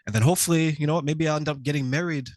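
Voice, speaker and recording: A man from Quebec, Canada, answering as a relationship coach in a conversational podcast interview, explaining things in a reflective, measured way. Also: a little high, dry recording, studio quality.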